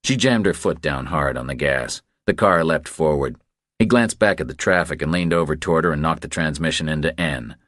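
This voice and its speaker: A man's voice: a bass voice, a little fast, a bit bright, no room sound, clean studio sound. A man reading a thriller as a scripted audiobook narration.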